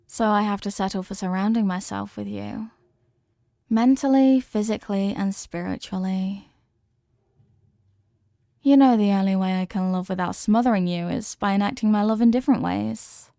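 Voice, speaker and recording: A woman's voice: mid-range voice, moderate pace, gravelly, warm tone, no echo, clean studio sound, close to an everyday voice. A woman playing an obsessively loving, possessive wife in an intimate ASMR roleplay, coaxing and melodramatic, lingering on her words. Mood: pleading, obsessive.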